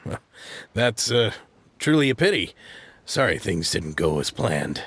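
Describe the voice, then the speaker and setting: Gravelly, jittery, cowardly man. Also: fairly low pitch, big pitch swings, a little slow, fairly bright tone, no echo, heavily acted. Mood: uneasy. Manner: hesitant, stammering, uneasy. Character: nervous, evasive, cowardly male party guest.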